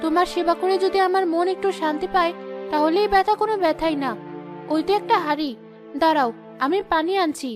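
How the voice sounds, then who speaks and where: Very high, plaintive young wife, acted by a woman. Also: brisk, over music. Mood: compassionate. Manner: plaintive, pleading. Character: sorrowful, kind-hearted, outcast young wife. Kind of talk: cartoon fairy-tale acting.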